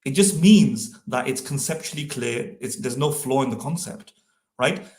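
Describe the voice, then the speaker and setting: A man's voice: medium pitch, animated, moderate pace, a little mellow. Man, co-host, explanatory, stumbling restarts, echoey room. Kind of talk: philosophical discussion. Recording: roomy echo.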